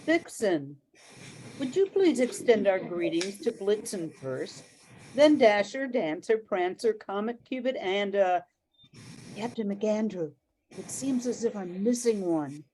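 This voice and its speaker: Stately space-alien commander played by a woman, mellow timbre, polite and measured, for amateur radio drama. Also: mid-range voice, animated, low-quality recording. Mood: courteous. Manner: formal, measured. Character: courteous, formal alien leader from another planet. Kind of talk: amateur radio play acting.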